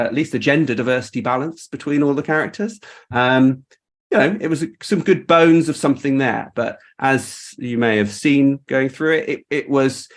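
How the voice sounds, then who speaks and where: A man hosting a casual group video call, talking in an informal, rambling and appreciative way. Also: mid-range voice. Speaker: host. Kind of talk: casual chat, reflecting on a creative project.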